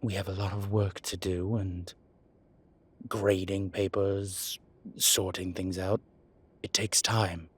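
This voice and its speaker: Deep, husky, evasive demon professor played by a man. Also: little pitch movement, heavily acted. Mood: defensive. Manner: halting, defensive, muttering asides. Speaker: voice actor. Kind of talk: audio roleplay acting, one-sided dialogue.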